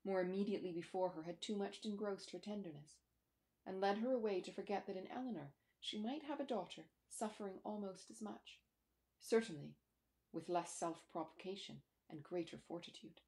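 A woman in her forties reading literary fiction aloud in a measured, formal storytelling manner. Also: mid-range voice, dark tone. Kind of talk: audiobook-style literary narration. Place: small room at home by a window.

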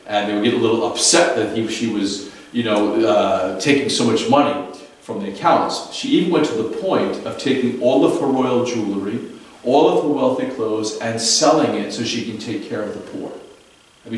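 Priest, 50s, low voice, chatty homily storytelling, echoey chapel, picked up from across the room. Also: male voice, far from the mic. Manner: conversational storytelling, fillers and restarts. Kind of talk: daily homily. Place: small chapel. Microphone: far camera.

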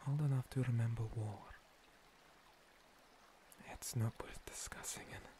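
A man playing an ancient, gentle monster priest, a scarred former paladin, in a breathy, halting, soothing ASMR roleplay whisper. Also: mid-range voice, fairly even intonation, natural voice. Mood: solemn, reflective. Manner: slow, soothing, halting pauses. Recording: water streaming and pouring behind. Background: running water.

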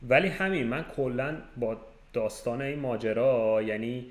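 Guy hosting a podcast, just casual chat, medium pitch, roomy echoey sound. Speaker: podcast host.

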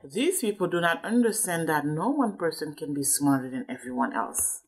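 A woman's voice: sits low, animated, moderate pace, slightly rough. A woman reading aloud to a child as a parent, explaining things and checking understanding with questions.

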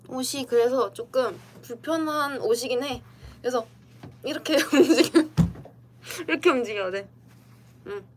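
Brief: young woman livestreamer, giggly and playful, unhurried chat over a computer mic, faint room hum behind. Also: fairly high pitch. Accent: Korean dialect. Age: young adult. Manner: giggly, playful, talks to viewers' comments. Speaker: idol streamer.

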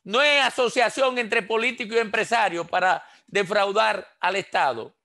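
Older Dominican man, high-pitched, bright forceful tone, headset call, emphatic rhetorical style. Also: normal speed, gravelly. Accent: Dominican Spanish. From Dominican Republic. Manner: emphatic, rhetorical questions, gestures while talking. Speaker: political commentator on a radio panel. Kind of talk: impassioned political commentary. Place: small indoor room. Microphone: headset on a video call.

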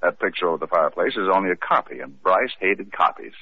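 A man's voice: low voice, expressive, quick pace, husky, no room sound. A man playing a wisecracking detective who narrates and questions witnesses in an old radio mystery. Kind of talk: 1940s radio acting. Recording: tinny old radio recording with faint hiss.

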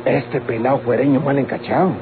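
A man's voice: medium pitch, steady tone, normal speed, natural voice. An actor playing a scheming, grumbling country horseman in an old radio drama, musing to himself in a rural Mexican accent. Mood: brooding. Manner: musing aloud to himself, colloquial. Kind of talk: old radio-novela acting. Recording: very noisy, tinny old radio recording.

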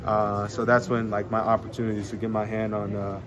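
Young man with low, laid-back voice. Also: a little fast. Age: young adult. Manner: relaxed, rambling, many fillers and restarts. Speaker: college basketball player. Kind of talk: postgame media scrum. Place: arena hallway. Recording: crowd noise in arena hallway.

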